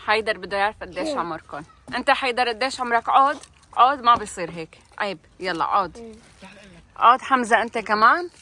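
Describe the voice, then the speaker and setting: An older woman with a bright, ringing tone and a somewhat high voice, talking with her grandchildren for a home video. She coaxes and teases them gently, keeping the chat light and playful. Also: animated, quick pace. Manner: warm teasing, coaxing children, often laughing. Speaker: grandmother joining a family vlog. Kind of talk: casual family chat with grandchildren.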